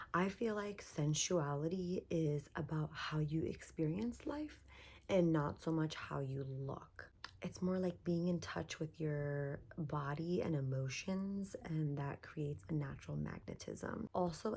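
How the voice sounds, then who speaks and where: Young woman podcast host, low, gravelly, hoarse from a lost voice, casual and chatty, recorded in her bedroom. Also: a little mellow. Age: young adult. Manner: chatty, upbeat, frequent likes and laughs. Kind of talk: casual self-help podcast monologue.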